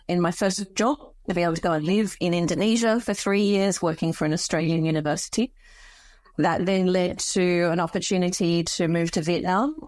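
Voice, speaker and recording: A middle-aged woman hosting a panel conversation, introducing colleagues in a measured way. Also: lowish voice, a little mellow, dry recording. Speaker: host.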